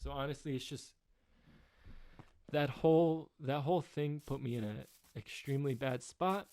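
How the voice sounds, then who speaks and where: A man in his twenties rambling through a personal update, halting with many fillers and restarts, subdued by a rough time. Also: medium pitch, dark tone. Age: 20s. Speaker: YouTuber.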